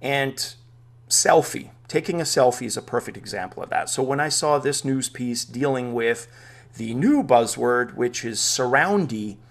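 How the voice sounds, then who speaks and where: A man's voice: medium pitch, creaky. A man whose first language is Dutch, hosting a casual VR news vlog from his home man cave, chatty and enthusiastic, thinking aloud as he goes. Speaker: VR news show host.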